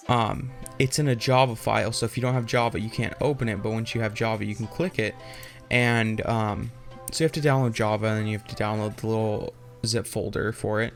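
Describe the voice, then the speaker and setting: Casual, rambling young man over music. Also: mid-range voice. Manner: rambling, informal, loosely explanatory. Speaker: tutorial host. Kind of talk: casual off-the-cuff tech tutorial.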